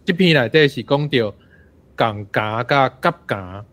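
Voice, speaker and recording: A man's voice: medium pitch, expressive, measured pace, fairly bright tone. A man with a Taiwanese accent casually asking questions in a discussion and echoing back what he is told. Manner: inquisitive, echoes the answers. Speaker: interviewer asking about old words.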